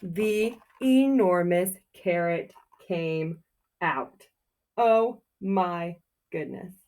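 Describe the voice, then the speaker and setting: A woman's voice: fairly low pitch, drawn-out words. Woman reading aloud to young children. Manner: expressive, sing-song story reading, simple encouraging questions for young children. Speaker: teacher reading a picture book aloud to children. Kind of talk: children's read-aloud with questions to listeners.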